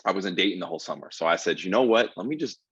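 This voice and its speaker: Deep-voiced young man telling his story. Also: animated, racing, slightly rough, no echo, muffled recording. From Ohio, USA. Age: 20s. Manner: earnest storytelling with many fillers. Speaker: guest comedian. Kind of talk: casual podcast interview.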